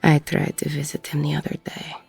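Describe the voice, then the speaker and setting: A woman playing a weary, gruff, middle-aged ex-con bartender, husky and breathy, blunt and self-deprecating, in an intimate audio roleplay. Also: sits low, completely flat delivery, a little fast, a little mellow, soft background music, full character voice. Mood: regretful, subdued.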